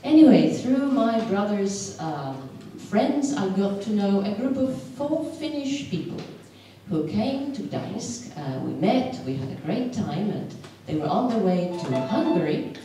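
A middle-aged woman with a Polish accent tells a personal story to an audience, pausing often with fillers. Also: medium pitch, big pitch swings, mellow, slight background noise. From Poland. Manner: hesitant, many filler pauses. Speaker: storyteller at a community event. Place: large wooden hall with stage. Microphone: handheld mic, heard from the hall. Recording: echoey hall from far off.

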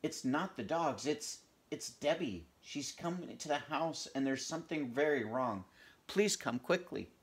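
A man reading the part of a plump, pleasant, gentle woman who owns dogs, in a conversational storybook manner. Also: fairly high pitch, animated, unhurried, voice pushed up. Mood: apologetic, worried.

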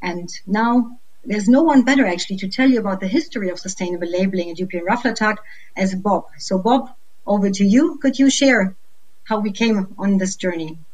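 A woman's voice: mid-range voice, a little fast, darkish tone, low-quality recording. Brief: young woman, webinar host, friendly scripted moderator questions, video call.

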